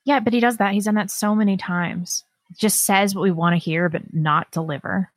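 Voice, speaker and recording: A woman's voice: mid-range voice, steady tone, no echo. Blunt woman co-host, dry close sound. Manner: blunt, skeptical, quick reactions. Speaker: podcast co-host. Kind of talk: casual political chat.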